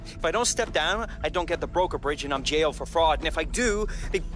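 A man's voice: on the high side, lively intonation, a little fast, a bit bright, faint music behind. An actor playing a petulant, cornered male chief executive in a video game, talking in an agitated, rambling way. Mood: bitter, panicked. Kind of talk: video game dialogue acting.

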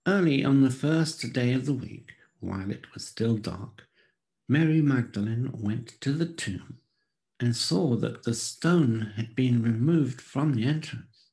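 A man in his sixties giving a solemn, theatrical dramatic Bible reading with hushed pauses, close on a studio mic. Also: medium pitch, expressive. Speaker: scripture reader. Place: small room at home. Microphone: close studio condenser with pop filter.

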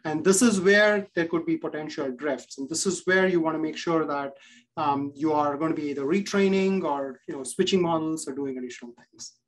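A man with an Indian accent giving a measured, explanatory answer on a panel discussion over a video call. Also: a little high, poor audio. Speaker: panelist, company CEO. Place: room with bookshelves.

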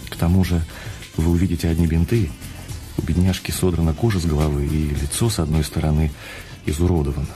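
An actor in a radio drama playing a frank hospital doctor who explains grave news. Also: male voice, extremely low pitch, little pitch movement, fast talker, mellow, faint music behind. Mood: cautious, sympathetic.

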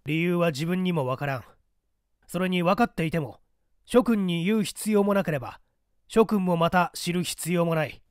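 A man's voice: high voice, normal speed, no echo, studio quality, natural voice. A man voicing a stern masked courier of a secret party, giving clipped, formal, authoritarian orders in an audiobook drama. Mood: stern, imperious.

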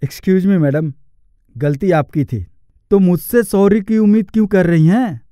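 A man's voice: sits high, a little slow, warm tone, no room sound, studio quality. A man voicing an earnest, hardworking young employee in a cartoon story, polite but firm and plain-spoken. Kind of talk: Hindi cartoon story acting.

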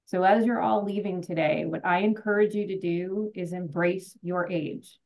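A woman's voice: fairly low pitch, steady tone, normal speed, darkish tone, poor audio. A middle-aged woman presenting on a video call, encouraging and direct as she urges listeners to act. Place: home office, indoors.

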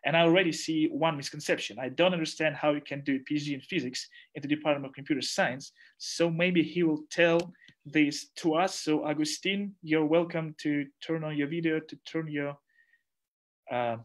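A man's voice: a little high, steady tone, moderate pace. A young man whose first language is Russian, opening an online society event on a video call, gesturing and searching for words. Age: young adult. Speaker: society organizer introducing speakers. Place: small room at home. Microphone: headset on a video call.

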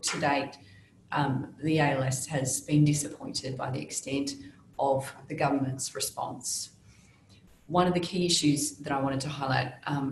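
A woman in her thirties with an Australian accent, giving measured, earnest prepared remarks over a video call from a small office. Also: extremely low pitch, a little mellow, reverberant, slight background noise, poor audio. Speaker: panelist from a legal service. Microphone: laptop video call.

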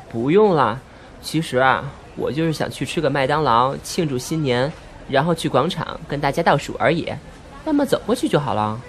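A man's voice: on the high side, sing-song, moderate pace, slight background noise. A man playing a naive, good-natured young man, blunt yet hesitant, in an amateur audio drama. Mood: friendly, polite. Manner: hesitant, guileless. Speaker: amateur voice actor.

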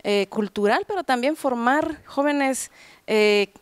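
A woman's voice: high voice, slow pace. A middle-aged woman hosting an on-location TV interview, speaking into a handheld microphone indoors. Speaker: TV host and interviewer. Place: large tiled hall indoors, group seated behind.

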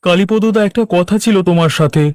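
A man's voice: high-pitched, fairly quick, a little mellow, no room sound, studio quality, natural voice. A man playing an aggrieved village fish seller in a cartoon, earnestly protesting and standing his ground. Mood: complaining. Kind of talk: Bengali cartoon dub.

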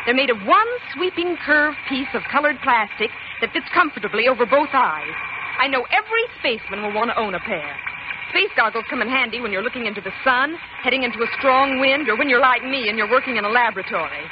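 A dull, clearly noisy vintage radio ad features a woman as an American space scientist. She speaks to children in a chummy, instructive way, with animated intonation. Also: on the high side, lightly acted. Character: bright, friendly female space academy scientist. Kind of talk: 1950s radio commercial acting. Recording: muffled, noisy 1950s radio recording.

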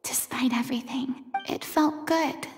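A woman's voice: sits high, unhurried, in a whisper. A woman voicing a proud, dramatic, easily flustered young lady in a video game. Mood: private musing. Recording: faint music behind.

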